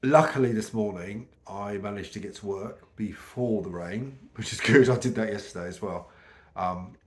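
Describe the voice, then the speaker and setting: A middle-aged British man talks to camera, his voice croaky from a cold and pitched a little low. He rambles casually, pausing on ums and restarting his sentences. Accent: British English. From United Kingdom. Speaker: vlogger.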